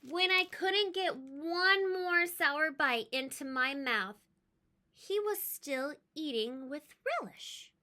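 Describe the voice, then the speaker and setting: A woman reading a children's story aloud in an expressive, emphatic way, with chatty asides. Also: sits high, slow pace.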